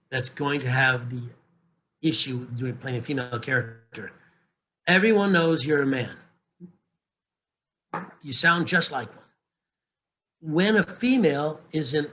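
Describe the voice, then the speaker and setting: Bright-voiced candid man, fairly high. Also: slight background noise, rough recording. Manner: candid, joking, restarts often. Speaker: narration coach. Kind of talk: casual panel talk.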